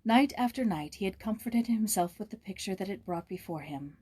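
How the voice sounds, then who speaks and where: A woman narrating a storybook aloud in a gentle, measured, expressive way. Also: medium pitch, slightly rough, dry recording.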